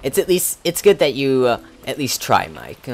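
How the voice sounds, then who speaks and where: A man's voice: mid-range voice, very animated, normal speed, creaky, darkish tone. A young man streaming a game, rambling casually with many restarts and fillers. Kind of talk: casual livestream commentary.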